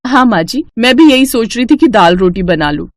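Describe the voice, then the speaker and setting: A woman's voice: fairly high pitch, expressive, fairly quick, no echo, clean studio sound. A woman voicing a young new bride in a family storybook tale, deferential, earnest and eager to please. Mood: polite, eager. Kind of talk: acted Hindi family storybook dialogue.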